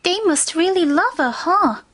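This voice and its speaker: Very high-pitched haughty cartoon pony. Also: female voice, lively intonation, unhurried, fairly bright tone, heavily acted. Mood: wistful. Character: haughty yet insecure young female pony, sulky. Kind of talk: cartoon fan fiction voice acting.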